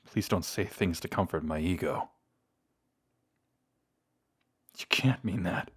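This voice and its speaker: Man voicing a male vampire, deep voice, brooding, courtly, measured old-fashioned phrasing, tender, intimate audio roleplay. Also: breathy edge. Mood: regretful, hesitant.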